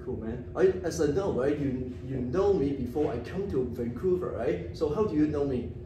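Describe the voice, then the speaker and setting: A man's voice: a little high, lively intonation, moderate pace. A man hosting a casual interview in a gym, speaking with short affirmations and simple phrasing. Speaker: host. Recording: echoey gym, far from mic, loud background noise.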